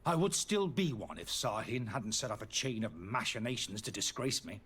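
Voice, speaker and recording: An actor playing a disgraced, indignant alchemist in a fantasy video game, pleading and justifying himself theatrically in a slightly husky voice. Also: male voice, on the low side, sing-song, normal speed, fairly bright tone, full character voice. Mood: bitter, indignant. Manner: agitated, defensive, theatrical delivery.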